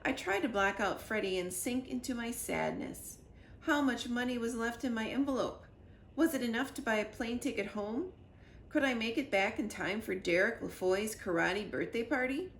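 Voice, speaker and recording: A woman narrating a children's storybook in an expressive read-aloud style. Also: on the high side, moderate pace. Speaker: storybook reader. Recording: echoey room with faint noise.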